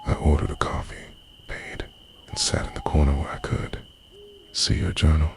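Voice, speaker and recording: A man narrating a scripted first-person ASMR story as a lovesick, obsessive lover, in a breathy, half-whispered, intimate delivery. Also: very deep voice, wildly swooping pitch, moderate pace. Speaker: audio roleplay performer.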